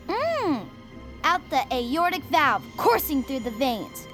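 A woman's voice: high-pitched, very animated, slow, fairly bright tone, full character voice. An actress playing a bossy young girl who melodramatically hams up a vampire lord in an audio drama. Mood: gloating, sinister. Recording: music behind the voice.

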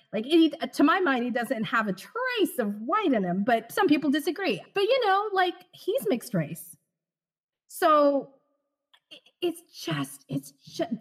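A middle-aged woman born in the Philippines, a stage actor talking as a guest in an interview, expressive and animated, laughing often. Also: on the high side. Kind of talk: conversational interview.

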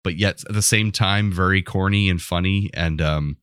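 A man's voice: deep voice, normal speed, fairly bright tone, clean tone, dry recording, studio quality. A man co-hosting a podcast, chatting casually about pop culture in an enthusiastic, rambling way. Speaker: podcast co-host.

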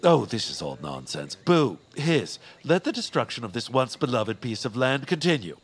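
A greedy, pompous baron villain in a comic audio drama. His delivery swings dramatically up and down in pitch. He sneers theatrically and sounds gleefully spiteful. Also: sing-song, normal speed, husky. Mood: sneering.

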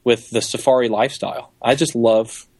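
A man's voice: mid-range voice, steady tone, normal speed, a little mellow, no room sound. Enthusiastic man, dry close sound. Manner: enthusiastic, explanatory. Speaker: interview guest, safari photographer and guide. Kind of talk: conversational interview answers.

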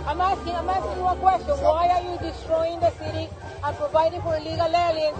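A woman's voice: very high-pitched, level tone, fast talker, over loud music, a loud crowd. A woman with a foreign accent confronting an official outdoors, pressing insistently with repeated questions. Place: noisy street crowd outdoors.

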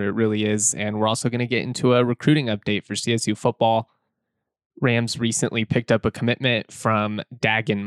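A man hosting a sports podcast in a relaxed, conversational and opinionated way, his voice slightly hoarse. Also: lowish voice, dry recording, studio quality. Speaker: podcast host. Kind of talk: casual sports podcast talk. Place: indoors, late at night.

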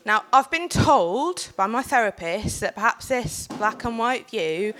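A woman with a cold giving an earnest, personal talk on a panel in a packed room, building steadily to forceful points. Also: fairly high pitch, animated, unhurried. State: has a cold. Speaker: panel speaker and organiser.

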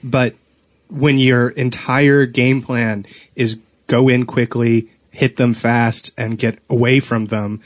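A man's voice: mid-range voice, little pitch movement, takes it slowly, no echo. A man co-hosting a podcast in casual chat, with a teasing, jokey manner. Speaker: podcast co-host.

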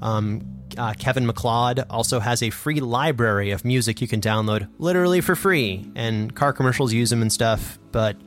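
A man co-hosting a podcast, explaining casually and a bit haltingly, as if thinking aloud. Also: medium pitch, faint music behind. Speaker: podcast host and sound designer.

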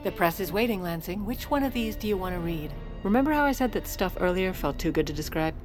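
An actress playing a crafty, middle-aged First Lady in an audio drama, brisk and wry. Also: on the low side, expressive, music in the background, lightly acted. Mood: coolly scheming. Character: determined, crafty First Lady, secretly running the presidency. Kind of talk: modern audio drama acting and first-person narration.

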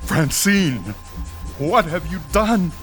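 An actor playing a pompous older ghost-hunting doctor, grave and declamatory, in an audio horror drama. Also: male voice, sits high, big pitch swings, slow pace, fairly bright tone, soft background music, heavily acted. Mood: commanding, solemn.

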